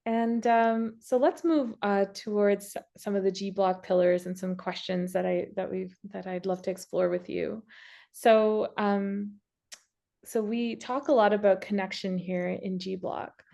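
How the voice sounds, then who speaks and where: A woman's voice: medium pitch, fairly even intonation, unhurried, vocal fry, warm tone. A woman hosting an interview, encouraging her guest with frequent short backchannel sounds before moving to the next question. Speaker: interview host.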